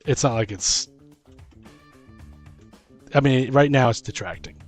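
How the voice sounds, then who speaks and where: A man co-hosting a casual chat about tabletop role-playing as a game master, thinking aloud in a halting, rambling way. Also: mid-range voice, faint music behind. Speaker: podcast host and game master.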